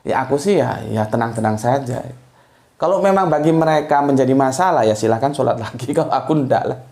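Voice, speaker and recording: A man's voice: mid-range voice, fairly quick, darkish tone. A man giving a casual religious talk, explaining things in a digressive way, chuckling now and then and tagging his lines with little fillers. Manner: digressive, explanatory, tags lines with "ya" and "gitu loh". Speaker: Islamic speaker. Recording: roomy echo.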